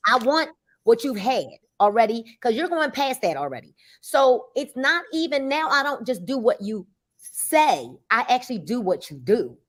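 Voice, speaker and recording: A woman in her fifties with a high voice tells lively stories in casual, colloquial speech. She acts out the back-and-forth of conversations as she goes. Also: moderate pace. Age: 50s. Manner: animated storyteller, acts out dialogue, colloquial. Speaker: network marketing mentor. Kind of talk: casual chat.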